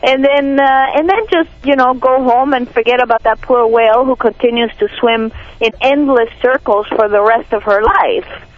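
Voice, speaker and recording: A woman's voice: fairly high pitch, moderate pace. Woman, Peruvian Spanish accent, earnest activist, dull lo-fi radio sound. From Peru. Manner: earnest, indignant, frequent restarts. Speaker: animal rights activist guest. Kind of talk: radio interview.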